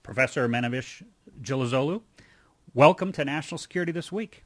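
A man hosting an interview show, speaking in measured, formal phrases as he introduces topics and questions a guest. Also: mid-range voice, no echo. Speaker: radio show host and interviewer.

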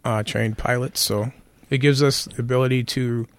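A man, hoarse from being sick, answering interview questions in a plainspoken way with many fillers. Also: medium pitch, fairly even intonation, moderate pace, no echo. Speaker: county sheriff guest.

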